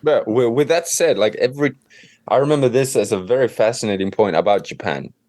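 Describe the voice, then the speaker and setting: A man's voice: mid-range voice, a little fast, dry recording, studio quality. A man with a Swedish accent, a native Swedish speaker, talking casually and loosely as an interview guest. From Sweden. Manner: relaxed, loose, leans on fillers. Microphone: remote call.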